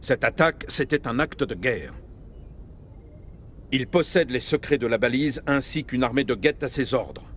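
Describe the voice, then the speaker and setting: A commanding middle-aged starship captain, played by a male actor in a video game. He is grave and measured and speaks with military authority. A faint rumble sits behind the voice. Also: animated, lightly acted. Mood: urgent, grave. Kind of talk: dramatic video game dialogue.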